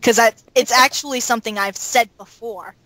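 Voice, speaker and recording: A woman talking casually as a cast member in an interview, animated and emphatic when retelling things. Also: a little high, normal speed, dry recording, rough recording. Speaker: audio drama cast member.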